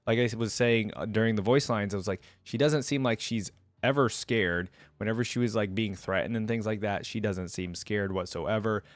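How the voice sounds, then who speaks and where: A man's voice: lowish voice, normal speed, hardly any pauses, dry recording. A man giving casual, slangy and enthusiastic reaction commentary as a host. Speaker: host reacting to game voice lines.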